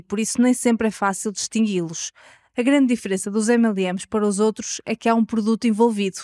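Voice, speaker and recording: A woman with a European Portuguese accent reporting a podcast investigation in measured, scripted narration. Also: mid-range voice, a little mellow, no room sound, studio quality.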